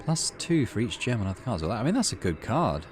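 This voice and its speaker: Low-voiced man with lively, swooping pitch. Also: fairly quick, background noise. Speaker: gaming channel host. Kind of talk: casual playthrough commentary mixed with reading game narration aloud.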